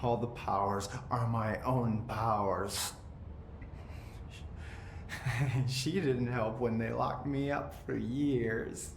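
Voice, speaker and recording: An eerily calm possessed man. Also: mid-range voice, vocal fry. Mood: bitter. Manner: slow, flat, eerily calm. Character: eerie, detached man, brother held in captivity, possessed. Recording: echoey room with background noise.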